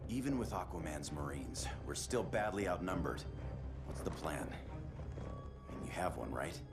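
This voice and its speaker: A man's voice: sits low, wildly swooping pitch, unhurried, loud music behind the voice, exaggerated voice. An actor playing a strong-willed, defiant male superhero in a video game cutscene. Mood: wry. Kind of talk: video game cinematic acting.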